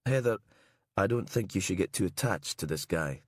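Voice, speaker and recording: Man playing a low, dry, wry dead husband. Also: animated, moderate pace, breathy edge, no echo. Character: dead husband's apparition, wry, laid-back, coaxing man.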